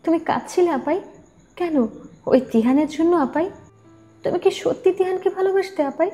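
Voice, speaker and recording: A woman's voice: very high-pitched, normal speed, dark tone, soft background music. A woman voicing a caring, inquisitive, girlish younger sister in an audio story. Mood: concerned questioning. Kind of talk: Bengali audio story acting.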